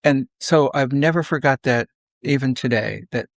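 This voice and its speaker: A man's voice: mid-range voice, normal speed, gravelly, no room sound. Older man telling stories, close dry sound. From South Philadelphia, United States. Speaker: podcast guest. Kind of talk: conversational storytelling, reminiscing.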